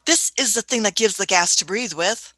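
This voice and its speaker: A woman's voice: mid-range voice, normal speed, pushed and bright, dry recording. A woman playing an eccentric, authoritative scientist-adventurer in a radio play, fussy and stammering, with a condescending manner. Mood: patronising.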